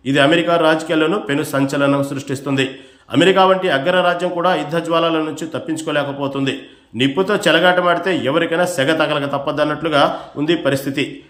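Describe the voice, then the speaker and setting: A man with a somewhat high voice delivers a scripted news report. His delivery is formal and emphatic, like a voice-over for a bulletin. The sound is clearly roomy and echoing. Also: fairly bright tone. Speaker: news narrator.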